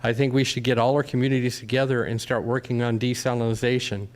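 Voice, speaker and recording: A man's voice: medium pitch, level tone, quick pace. Man in his fifties, from California, rambling earnest commenter at a town forum. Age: 50s. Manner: earnest, rambling, asks the room questions. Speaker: audience member.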